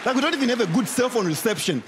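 A young Zimbabwean man performs stand-up in a very high, lively voice that leaps up and down as he shouts his punchlines. His accent is clear, and English is not his first language. A roaring crowd and loud show music sit behind him on the stage. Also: rapid-fire, very noisy. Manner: animated, shouting punchlines, laughing between lines. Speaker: stand-up comedian. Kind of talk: stand-up comedy set. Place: large TV talent show stage before a big audience. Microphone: handheld mic. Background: cheering crowd and show music.

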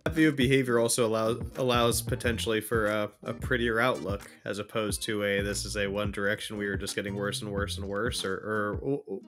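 A man's voice: on the low side, level tone, fairly quick, few pauses, a bit bright, faint music behind. A man co-hosting a podcast, talking casually and feeling out his thoughts in a reflective way. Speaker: podcast co-host. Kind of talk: casual conversational discussion.

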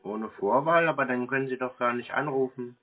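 A man's voice: medium pitch, fairly quick. A man chatting casually on the phone in a laconic, plain-spoken way, over a narrow telephone line. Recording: narrow-band telephone call.

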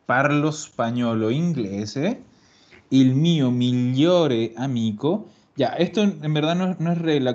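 A man teaching Italian in an online class, patient and explaining slowly, repeating words. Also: medium pitch, darkish tone. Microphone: video call. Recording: dull lo-fi call audio.

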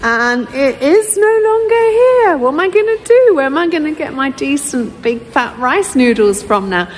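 A woman's voice: high voice, sing-song, normal speed, slight background noise. A middle-aged woman chatting casually into a phone held at arm's length, laughing often and poking fun at herself. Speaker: vlogger renovating a palazzo. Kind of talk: casual vlog chat. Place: city streets at night and a busy bar. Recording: music and crowd chatter behind.

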